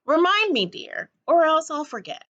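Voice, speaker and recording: A woman's voice: sits high, very animated, moderate pace, a bit bright, lo-fi sound, pitched above the performer's own voice. A woman voicing a busy, absent-minded mother in a storybook, mildly dismissive. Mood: distracted.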